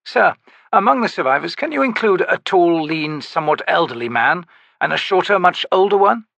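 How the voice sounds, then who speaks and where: A cold, courtly bearded sorcerer with an odd foreign accent, as a male narrator puts him on talks in a high, polished, smoothly polite manner. Each phrase is precise and detached, recorded dry and close. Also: expressive, normal speed, a bit bright, dry recording, dull audio, heavily acted. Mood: cool polite. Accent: strange foreign accent.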